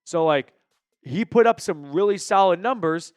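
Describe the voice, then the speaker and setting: Clear-voiced American male sports show host. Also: sits high, expressive, moderate pace, dry recording, clean studio sound. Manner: opinionated, argues point by point, emphatic. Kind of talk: conversational sports commentary to viewers.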